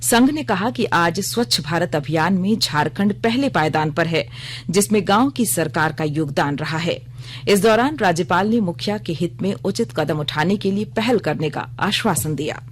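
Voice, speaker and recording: A woman reading a scripted radio news bulletin in a formal, measured manner. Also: fairly low pitch, lively intonation, a little hiss or hum.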